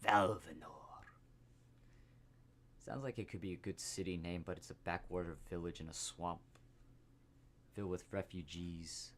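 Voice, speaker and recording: A man's voice: deep voice, fairly even intonation, moderate pace, long pauses, background noise. A man casually commenting on a game he plays, rambling with hesitant fillers and laughter, game music behind. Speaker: gameplay commentator. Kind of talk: casual let's play commentary.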